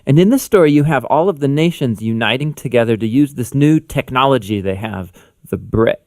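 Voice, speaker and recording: A man's voice: medium pitch, expressive, brisk, a little mellow. A man co-hosting a Bible lesson, explaining things informally in conversational explainer narration. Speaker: Bible teacher, co-host.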